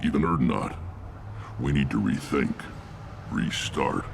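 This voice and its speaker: A battle-worn alien clan chief from a sci-fi game. The voice is rumbling and extremely deep, thick with gravel. He speaks bluntly and with command, over clearly audible background noise. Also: slow pace, heavily acted. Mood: grave, resolute. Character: gruff, battle-worn alien warlord, a visionary clan leader. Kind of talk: video game character acting.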